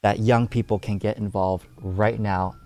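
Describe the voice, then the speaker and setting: An earnest young man answering podcast interview questions with many pauses and ums. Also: lowish voice, fairly even intonation, warm tone. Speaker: guest, community organizer.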